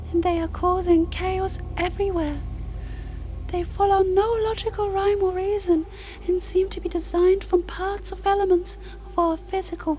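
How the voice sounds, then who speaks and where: A woman voicing an ancient, self-righteous celestial sister in an audio drama, with a formal, measured, lecturing manner. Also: extremely high pitch, warm tone, slight background noise.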